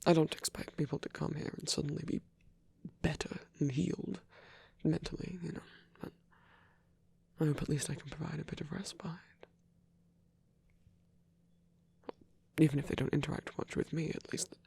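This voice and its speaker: A man playing a gentle, caring old vampire priest in an intimate ASMR roleplay, speaking in a halting, bashful, breathy whisper. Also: on the high side, steady tone, dry recording, heavily acted. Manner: halting, bashful, softly reassuring.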